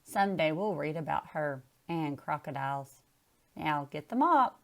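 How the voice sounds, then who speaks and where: A woman voicing a cheerful, encouraging female primary school teacher, animated as in a picture book read-aloud. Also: low voice, a little slow, vocal fry, exaggerated voice. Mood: brisk.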